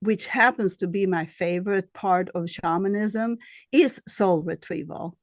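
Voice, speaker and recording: A woman with a Swedish accent, a healer being interviewed, who speaks in a halting way and searches for her words. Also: mid-range voice, no room sound, clean studio sound. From Sweden. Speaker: guest, shamanic healer and astrologer. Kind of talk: casual interview.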